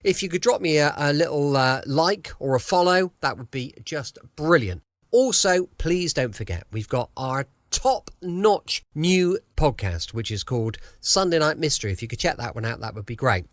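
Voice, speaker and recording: A man's voice: a little high, lively intonation, normal speed, no echo, clean studio sound. A man with a southern English accent, chatting informally and casually as a podcast host. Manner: chatty, informal, tag questions. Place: quiet room at home.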